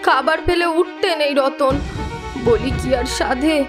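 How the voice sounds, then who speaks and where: A woman's voice: high-pitched, measured pace, over music. A woman voicing an older, fretful village mother who nags her grown sons in a cartoon folk tale. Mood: scolding. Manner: nagging, fretful.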